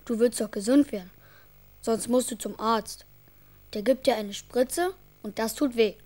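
A little boy in a radio play for children. The voice is fairly high with a dark, soft tone. He sounds earnest, childlike and caring, a bit bossy when coaxing. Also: measured pace.

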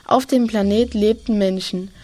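A woman's voice: mid-range voice, steady tone, unhurried, warm tone. A woman reading scripted narration as the storyteller of a children's audio play.

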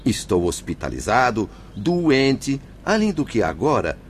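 An actor playing a sullen, stubborn hospital patient in a radio drama, curt and indignant. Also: male voice, mid-range voice, sing-song, measured pace, a little hiss or hum. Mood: defiant, irritated. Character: sullen, defiant male hospital patient refusing orders.

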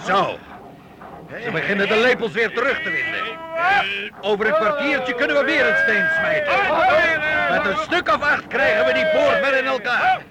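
A man's voice: very high voice, animated, moderate pace, very noisy. A man playing a seasoned medieval master craftsman in a Dutch radio drama, eagerly and instructively directing a siege in a fatherly way. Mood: urgent, excited.

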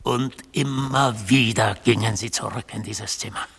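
A severe, godlike accuser in a literary radio play. His mid-pitched voice is very raspy and creaky, with a hard bright edge, and his delivery is solemn and rhetorical. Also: steady tone, normal speed. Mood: severe, bitter. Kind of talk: literary radio drama monologue.

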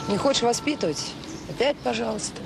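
A woman's voice: a little high, expressive, fairly quick, creaky, very noisy. An actress playing a caring, weary middle-aged woman who runs a children's home, conversational and earnest in a radio drama. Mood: reflective. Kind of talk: naturalistic Soviet radio drama acting. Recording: 1980s radio drama, prominent music behind.

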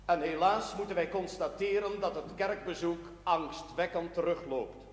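Actor as high-voiced preaching priest, echoing church. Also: male voice, moderate pace, lightly acted. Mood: solemn, admonishing. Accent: Dutch. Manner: solemn, declamatory, pompous. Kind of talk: sermon. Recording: echoing church acoustic, long reverb.

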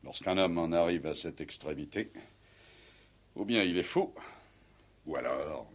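A man playing a grave, honour-bound military commandant in a 1960s French-language radio play, speaking in a formal, measured, cryptic way. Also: deep voice, expressive, breathy edge, exaggerated voice. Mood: grave, guarded. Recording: vintage 1960s radio drama recording.

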